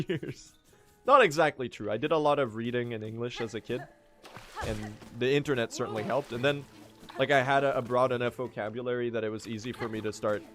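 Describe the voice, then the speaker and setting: Swedish guy, late teens, rambling jokey stream chat, regular pitch, quiet music behind. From Sweden. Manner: rambling, joking, quick to excited outbursts. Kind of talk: casual livestream chat while gaming.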